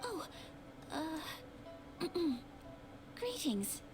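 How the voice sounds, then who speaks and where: A woman voicing a gentle, earnest young noblewoman in an anime video game, formal and soft-spoken, sometimes hesitant. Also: very high-pitched, very animated, very slow, over music, exaggerated voice. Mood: shy, nervous.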